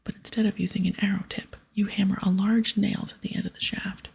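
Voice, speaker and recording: A woman voicing a scheming, self-justifying male wizard from a fantasy game in a breathy whisper. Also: mid-range voice, little pitch movement, a little fast, darkish tone, natural voice. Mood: explaining. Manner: pleading, then dryly explanatory.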